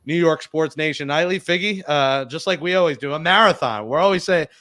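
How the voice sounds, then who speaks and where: A man's voice: a little high, brisk, bright, forceful tone. A man hosting a casual sports podcast, joking and poking fun at himself as he stumbles over foreign words. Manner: joking, self-mocking, fumbles foreign words. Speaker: podcast host.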